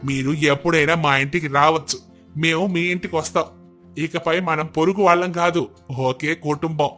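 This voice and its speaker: A man plays a once-proud old village husband, now humbled, in a storybook tale. His voice is a bit high, earnest and apologetic, with soft music behind it. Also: fairly even intonation, normal speed. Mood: grateful. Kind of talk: moral storybook character dialogue.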